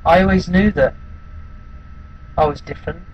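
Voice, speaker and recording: A man's voice: high-pitched, moderate pace, highs cut off, a little hiss or hum, lightly acted. Hesitant, subdued man acting a wounded outcast. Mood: subdued, pained. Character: withdrawn, wounded outcast recalling being bullied at school. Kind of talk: dramatic monologue.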